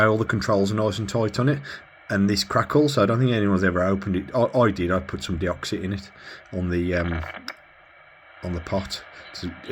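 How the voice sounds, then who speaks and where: Male hobbyist presenter, lowish pitch, informal rambling demo delivery, thinking aloud, slight background noise on the recording. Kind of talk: casual hands-on gear demonstration.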